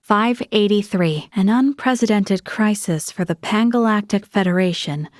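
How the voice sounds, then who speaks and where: A woman's voice: medium pitch, moderate pace, no echo, studio quality, close to an everyday voice. An actress voicing an earnest, dutiful young female military officer in a sci-fi video game, composed and articulate. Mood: solemn, measured.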